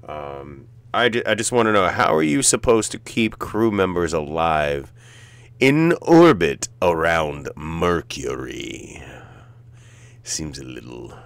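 A man in middle age with a deep voice talks slowly and casually, as if reacting live on a stream. He hesitates and muses, feeling his way through each thought. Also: lively intonation, vocal fry, no room sound. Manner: hesitant, halting, musing. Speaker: reaction streamer, show host. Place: indoors, seated at a streaming setup.

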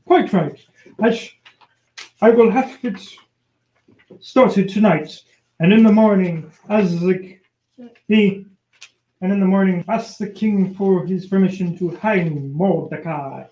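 An amateur performer playing a vain, vengeful male royal official in an old-time radio play, with declamatory, halting delivery. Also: mid-range voice, dark tone, natural voice. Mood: scheming. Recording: dull, roomy amateur recording.

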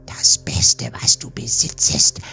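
A woman playing a sinister, scheming sea witch in a fairy-tale audiobook, with a hoarse, breathy whisper and slow, ominous delivery. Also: pushed and bright, faint music behind. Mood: demanding, scheming.

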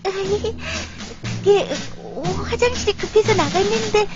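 Very high, innocent little cartoon girl. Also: measured pace. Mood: flustered. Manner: childlike, expressive. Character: little girl, innocent, easily frightened, polite. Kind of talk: children's cartoon acting. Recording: muffled audio under loud music.

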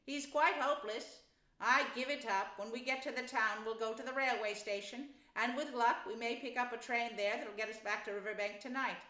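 A woman's voice: fairly high pitch, normal speed, bright, forceful tone, reverberant, pitched above the performer's own voice, poor audio. A woman voicing a no-nonsense male water rat in a storybook, firm, practical and scolding. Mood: exasperated.

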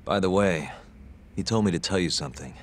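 A man playing a tough, determined detective in a video game cutscene, terse and steady. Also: sits low, brisk, slight background noise, lightly acted. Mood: resolute. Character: tough, determined male detective, calm and reassuring.

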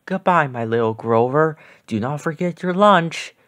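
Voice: mid-range voice, expressive, moderate pace, fairly bright tone, natural voice. A reader as a caring monster mommy. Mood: gentle, instructing.

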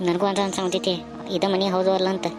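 A woman's voice: on the low side, completely flat delivery, fast, music in the background, lightly acted. A woman voicing a timid, easily frightened young male traveller in an amateur cartoon, with an anxious manner and a North Karnataka Kannada accent. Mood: uneasy.

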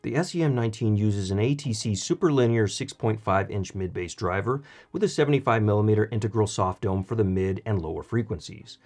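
Male reviewer, slightly low voice, scripted narration for video reviews. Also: a little fast, hardly any pauses, a little mellow, soft background music. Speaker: product reviewer and channel host.

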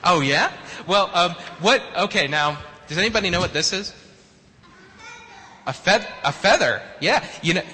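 A man with a bright, fairly high voice leads a church service as pastor. He turns upbeat and playful when talking with children. The sound carries a long hall reverb from the sanctuary. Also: animated, moderate pace. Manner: upbeat and playful with children, often restarting phrases.

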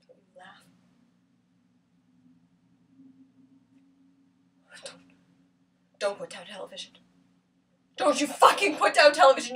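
A woman performing a theatrical monologue as a lonely, bitter woman who feels ugly, with an intense, halting delivery. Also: sits high, fairly bright tone, recorded from a distance, slight background noise. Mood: angry, defensive. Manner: intense, halting, swinging from whisper to outcry.

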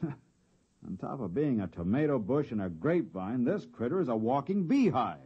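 A man acting in a 1950s radio drama as a curious, excitable, exclamatory biologist on an alien expedition. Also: mid-range voice, normal speed, slightly rough. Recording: dull old radio recording.

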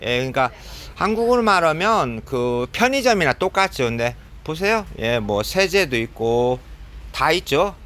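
A man with a cold, chatting casually as he films himself shopping in a convenience store, filling pauses with "yes". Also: a little high, sing-song, a bit bright. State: has a cold. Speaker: vlogger. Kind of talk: casual running commentary while shopping.

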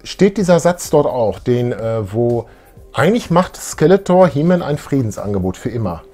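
A man's voice: mid-range voice, big pitch swings, moderate pace, dark tone, faint music behind. Man in his thirties, casual fan chat. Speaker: co-host of retro fan show. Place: small room full of collectibles, indoors.

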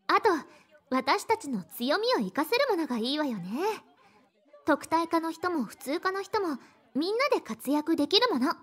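A bright, encouraging schoolgirl from an anime game. She speaks in a very high, sweet voice with lively swings of pitch. Her manner is friendly and ladylike. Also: normal speed, exaggerated voice. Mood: thoughtful, cheerful. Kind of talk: Japanese anime game acting.

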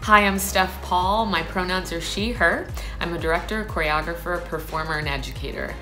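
Deep-voiced upbeat woman, self-intro over music bed. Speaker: director and choreographer. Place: room indoors.

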